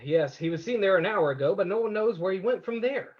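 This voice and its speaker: A resolute, cryptic sleuth acted by a man in an old-time radio drama. He sounds measured and decisive, pitched a little high, on slightly dull audio. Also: expressive. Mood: grave. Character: resolute, cryptic male crime-fighting sleuth.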